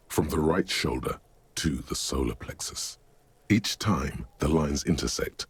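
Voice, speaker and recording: A man reading measured, ominous second-person narration for a video game. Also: extremely low pitch, gravelly, slightly rough, no room sound.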